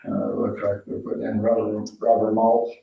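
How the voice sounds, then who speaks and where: Gravelly man, muffled in an echoey room. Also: on the low side, monotone, moderate pace. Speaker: board member asking questions. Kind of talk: informal questioning at a meeting. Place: indoor town board meeting room. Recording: muffled lo-fi, echoey room, distant mic, noise.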